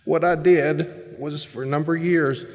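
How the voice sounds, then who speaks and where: A man's voice: on the high side, fairly even intonation, normal speed, a little mellow, muffled recording. A middle-aged man speaking candidly at a recovery meeting, telling his story plainly with emphatic bursts. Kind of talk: personal recovery talk to a meeting. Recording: long hall reverb.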